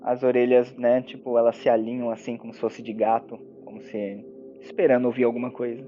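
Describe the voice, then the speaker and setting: A man's voice: medium pitch, flat delivery, a little fast. A Brazilian man, a player in a tabletop game, casually describing his character's actions. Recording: muffled lo-fi audio with music. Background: music.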